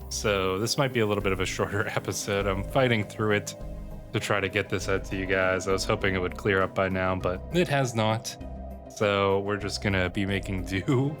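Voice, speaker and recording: A man's voice: fairly low pitch, fairly even intonation, normal speed. A man from Canada with a bad cold, giving casual, musing commentary as host of a strategy game roleplay video. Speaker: YouTube gaming host. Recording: loud music under the voice. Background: music.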